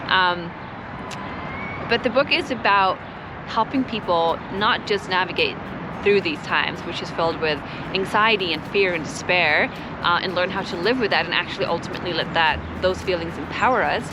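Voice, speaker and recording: A woman from Sweden talking casually and reflectively as an interview guest, expressive and earnest in a conversational way. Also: lowish voice, normal speed, noisy background. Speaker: author and climate educator, interview guest.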